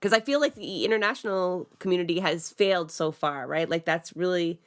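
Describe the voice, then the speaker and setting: A woman with a lowish voice hosting an interview, asking questions in a loose, conversational way. She thinks aloud as she talks, with fillers like "like" and "right?". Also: brisk. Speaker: podcast host.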